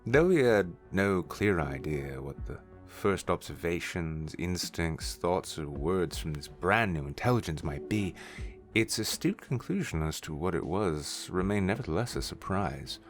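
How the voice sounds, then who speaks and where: A man's voice: deep voice, expressive, a little slow. A man reading scripted narration for a science fiction story. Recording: music under the voice.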